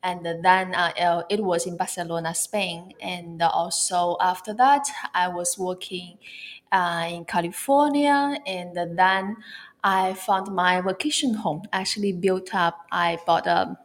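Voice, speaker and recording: A woman from China with a Chinese accent, speaking English as a second language, talking as an interview guest in a halting way, often searching for words. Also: lowish voice. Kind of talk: casual interview talk.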